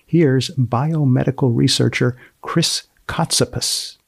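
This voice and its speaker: A man hosting a podcast, narrating and asking questions in a measured, deadpan way. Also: mid-range voice, creaky, very noisy. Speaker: podcast host and magazine editor.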